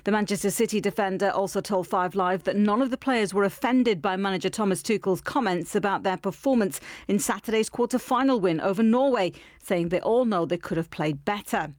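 A woman reading a scripted sports news bulletin on the radio in a brisk, clear, even way. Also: medium pitch, no room sound, studio quality.

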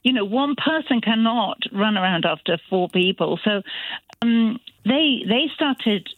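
A woman's voice: fairly high pitch, expressive, unhurried, no room sound. A middle-aged woman, a mother of grown children, calling in to chat on a radio phone-in, talking thoughtfully with many restarts. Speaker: caller. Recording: tinny phone line.

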